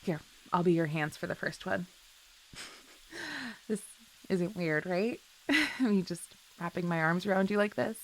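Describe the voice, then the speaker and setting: A woman's voice: fairly low pitch, animated, takes it slowly, long pauses, a little hiss or hum, poor audio. A woman playing a chill, flirty best friend with a secret crush in an intimate audio roleplay, speaking in a giggly, hesitant, affectionate way. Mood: flustered, playful, then playful, tender. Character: chill, flirty female best friend shyly confessing love. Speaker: voice actor.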